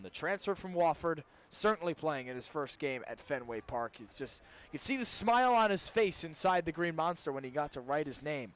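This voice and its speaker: A man's voice: a little high, a bit bright. A young man doing live baseball play-by-play on the radio, as the game's announcer. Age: young adult. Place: ballpark during a live game. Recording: clear background noise, live ballpark broadcast.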